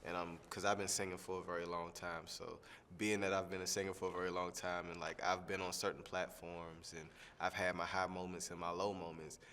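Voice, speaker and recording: A young man, a singing contestant, answering interview questions in a relaxed, rambling way with lots of fillers. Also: sits low, fairly quick, big-room sound, slight background noise. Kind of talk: press junket soundbite interview.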